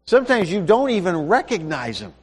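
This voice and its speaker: A man's voice: high voice, sing-song, brisk, slightly rough, long reverb tail. A man preaching a sermon in a conversational way, asking his listeners questions.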